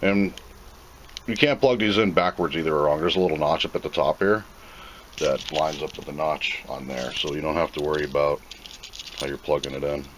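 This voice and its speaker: A man's voice: extremely low pitch, steady tone, a little fast, background noise. A plainspoken man giving an off-the-cuff, casual commentary as he reviews and unboxes a product.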